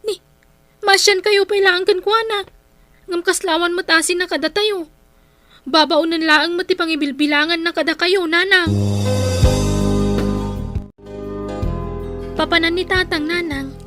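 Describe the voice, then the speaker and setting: A woman's voice: very high voice, steady tone, normal speed, over music. A woman playing an outspoken, exasperated daughter in a Filipino radio drama, with an Ilocano accent. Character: exasperated, outspoken grown daughter of a troubled family.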